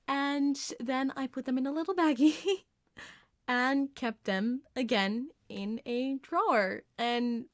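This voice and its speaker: A woman's voice: a little high, no echo, studio quality. A woman telling casual, confessional personal anecdotes in an ASMR storytime style, with a light giggly manner.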